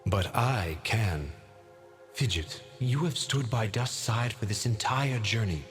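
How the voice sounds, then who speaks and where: Ancient sword spirit, wise, stately, unhurried, video game, music underneath. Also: male voice, fairly low pitch. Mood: grave. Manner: stately, formal.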